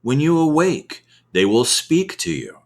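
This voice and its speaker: A man reading scripture aloud as ASMR, with measured, deliberate phrasing and expressive emphasis. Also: on the high side. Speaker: reader of scripture.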